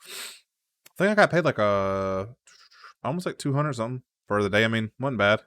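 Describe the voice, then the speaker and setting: A young man chats casually on a podcast, wandering through his stories with plenty of fillers and restarts. His tone is bright and forward. The sound is dry and close to the mic. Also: fairly low pitch, lively intonation, normal speed, clean tone, no room sound. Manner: rambling storyteller, many fillers and restarts. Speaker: podcast co-host. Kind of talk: casual chat.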